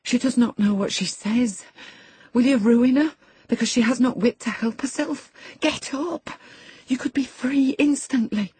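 A woman's voice: sits low, moderate pace, hardly any pauses, a little mellow, husky. A narrator voicing an alarmed, scolding female servant, urgent and exclaiming, in an audiobook. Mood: furious scolding.

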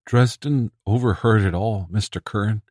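A man voicing a pathetic, desperate male castaway who talks in a whiny, ingratiating tone and breaks into shrill screams. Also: moderate pace, a little mellow, dry recording, clean studio sound, natural voice. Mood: wheedling. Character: pathetic male spaceship castaway, whining then threatening.

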